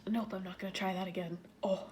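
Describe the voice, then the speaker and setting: A woman with a stuffy nose, talking casually to her viewers, breathy and often half-whispered between sighs. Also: medium pitch, level tone, fast, a little mellow, a little hiss or hum. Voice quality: stuffy nasal voice. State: stuffy nose, sniffling and sneezing. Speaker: vlogger. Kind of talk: casual talk to camera.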